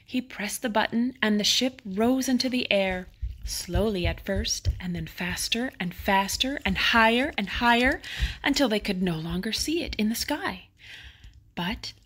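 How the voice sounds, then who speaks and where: A woman narrating a children's bedtime picture book expressively, with dramatic emphasis on exciting moments. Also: mid-range voice, measured pace, slightly rough, poor audio.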